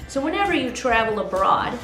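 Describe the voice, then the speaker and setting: Higher-voiced woman explaining travel tips in an echoey room. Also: sing-song, fairly quick, fairly bright tone, soft background music. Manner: explanatory, asks co-host quiz questions. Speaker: co-host giving travel advice. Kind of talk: casual advice chat.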